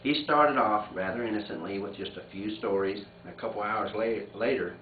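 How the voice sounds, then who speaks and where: Male family storyteller, lowish voice, laughs easily, echoey lo-fi room sound. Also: brisk. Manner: animated, often breaking into laughter. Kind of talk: informal storytelling and reading a letter aloud among family. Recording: muffled phone-like audio, echoey, distant, noisy room.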